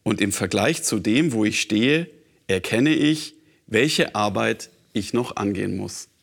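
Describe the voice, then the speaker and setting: Low gravelly guy, kinda husky, sincere, sounds far off. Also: expressive, unhurried. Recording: distant from the mic.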